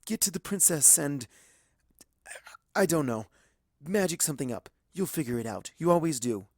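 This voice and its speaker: A man's voice: on the high side, animated, normal speed, darkish tone, no room sound, studio quality, voice pushed up. A man voicing a brave young male dragon in a story reading, earnest and cocky to cover his fear. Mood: determined. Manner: earnest, bravado over fear. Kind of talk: dramatic fan fiction reading.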